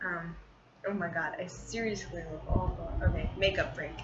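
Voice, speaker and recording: A woman's voice: fairly low pitch, fairly even intonation, normal speed. A woman vlogging casually from her bedroom, rambling in a scattered, informal and self-deprecating way. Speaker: vlogger and amateur short story writer. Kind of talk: casual rambling vlog chat. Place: small bedroom at home, indoors. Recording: muffled, noisy room with fan running. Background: running fan noise.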